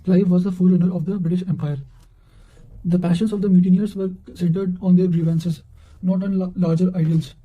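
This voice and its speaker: A high-voiced man reads study material aloud in a very soft, dark tone. His delivery is fairly flat and halting, with frequent stumbles and repeated words. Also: rough recording. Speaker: narrator reading revision notes. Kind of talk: reading quotations aloud for study revision.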